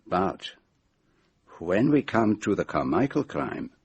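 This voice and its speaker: A shrewd, middle-aged Belgian detective in a radio drama. His French-speaking Belgian accent comes in a slightly husky, lively voice that rises and falls theatrically. He lays out each point precisely, with deliberate pauses. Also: deep voice, lo-fi sound, exaggerated voice. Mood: accusing, deliberate.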